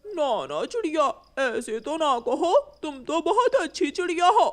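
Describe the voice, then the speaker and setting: A woman's voice: sits high, sing-song, measured pace. A woman voicing a scheming, whiny male crow who stammers in a children's cartoon story. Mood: pleading, regretful.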